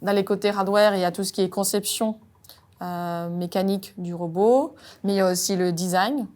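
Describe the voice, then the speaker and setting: A woman, speaking French as a robotics engineer and pausing often on "euh". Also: medium pitch, steady tone, a little mellow. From Colombia. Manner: measured, frequent "euh" hesitations. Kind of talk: job interview-style monologue.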